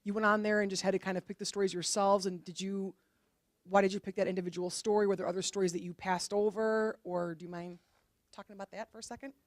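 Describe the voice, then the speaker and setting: An audience member casually asking questions in an encouraging, rambling way, picked up quietly from a distance. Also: medium pitch, level tone, fast, dry recording, studio quality.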